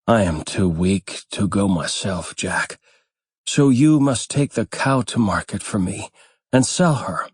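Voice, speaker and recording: A man's voice: fairly low pitch, lively intonation, measured pace, husky, no echo, clean studio sound. A man voicing a poor, weary widowed mother in a fairytale, giving plain instructions.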